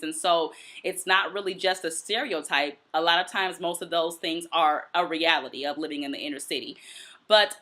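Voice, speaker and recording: An American woman hosts a reflective solo commentary show. Her voice sits a little low for a woman but has a bright, forceful edge. She explains her points deliberately, as if walking a listener through an argument. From Detroit, United States. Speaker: host of a commentary and book review show.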